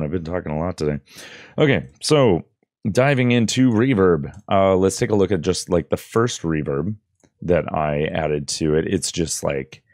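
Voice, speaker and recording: Deep voiced guy doing a chill screen tutorial, kinda hesitant explaining, close dry mic. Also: a little mellow, no room sound. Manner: informal, hesitant, loosely explaining. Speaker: audio tutorial presenter. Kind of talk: casual screen-recorded tutorial. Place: indoors at a computer desk. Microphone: close boom-arm microphone with headphones.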